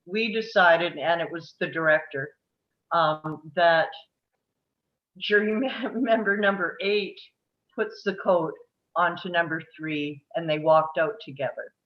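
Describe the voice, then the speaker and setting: A woman's voice: lowish voice, a little slow, muffled recording. A middle-aged woman talking casually and earnestly in a group discussion, with emphatic phrasing. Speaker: table read participant. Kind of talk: casual post-reading discussion.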